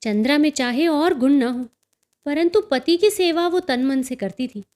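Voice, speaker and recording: A woman reading a literary story as an audiobook narrator, in an expressive, enunciated way. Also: sits high, measured pace.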